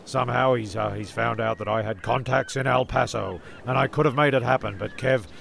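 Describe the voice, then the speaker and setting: Man playing a very gravelly, flustered tall-tale teller. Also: medium pitch, brisk, a bit bright, slight background noise. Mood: defensive. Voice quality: gravelly, creaky. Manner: stammering, flustered, sweary. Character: defensive, rambling man spinning tall tales, breaking into song. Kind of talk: comedic audio drama storytelling. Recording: guitar music behind the voice.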